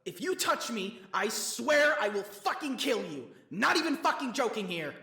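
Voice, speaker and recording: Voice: medium pitch, very animated, moderate pace, sharp tone, slight background noise. A performer voicing an irritable, cursing young troll in a comic fan dub, full of exasperated ranting. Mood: disgusted. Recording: roomy, long hall reverb.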